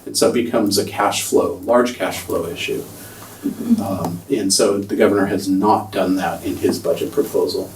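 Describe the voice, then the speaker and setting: A soft-spoken man giving a budget presentation at a school board meeting, hesitant with many ums. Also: low voice, fairly even intonation, normal speed. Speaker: school district finance presenter. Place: large meeting room. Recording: echoey room, distant mic, faint noise.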